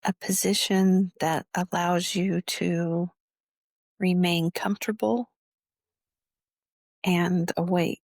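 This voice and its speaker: Woman leading a guided meditation, really slow and measured, lowish voice, pauses a lot. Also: fairly even intonation, a little mellow, no room sound. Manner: measured, instructional, with long pauses between phrases. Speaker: meditation teacher.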